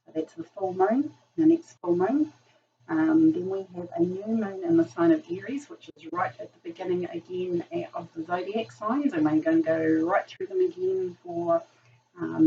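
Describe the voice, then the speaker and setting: A woman with a New Zealand accent, hoarse and gravelly from a virus, talking in a low voice. She rambles through a casual tarot reading with frequent ums. The mic sits some way off, and the dull recording carries a faint hiss. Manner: chatty, rambling, many ums. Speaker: tarot reader. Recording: distant mic, dull sound, faint noise.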